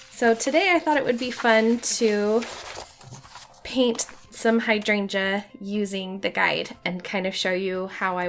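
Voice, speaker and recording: A young woman with a hoarse voice from a cold, chatting casually as she teaches a watercolor painting tutorial. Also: mid-range voice. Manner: friendly, chatty, light laughs.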